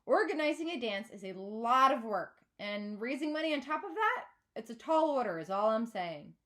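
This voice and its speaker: A woman voicing a male art teacher in a storybook, measured but firm and emphatic as he cautions students. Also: fairly high pitch, big pitch swings, fairly bright tone. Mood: firm, cautioning. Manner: emphatic, raising voice over students.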